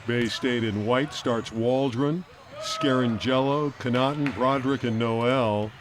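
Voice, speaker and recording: A man's voice: mid-range voice, steady tone, takes it slowly, noisy background. A man calling a youth basketball game as the play-by-play announcer, upbeat and hyping the action. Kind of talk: sports commentary.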